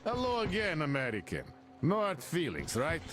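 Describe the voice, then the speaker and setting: Wry game guard, swooping pitch. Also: male voice, wildly swooping pitch, normal speed, over music. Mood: friendly briefing. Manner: wry, casual. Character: easygoing, wry male post-apocalyptic dockside guard. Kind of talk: video game cutscene acting.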